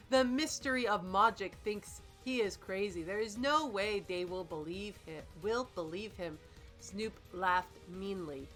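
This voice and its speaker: Woman, bright and slow-paced, jokey co-host doing mock-dramatic readings with casual swearing, light music behind. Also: medium pitch. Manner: jokey, profane, halting over misspellings. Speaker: co-host reading fanfiction aloud and critiquing it.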